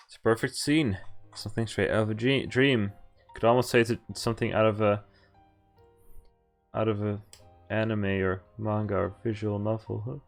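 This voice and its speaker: A man giving casual playthrough commentary on a game, reading its text aloud with wry, offhand asides. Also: on the low side. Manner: wry offhand asides between read lines. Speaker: game streamer. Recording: game music behind the voice. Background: visual novel music.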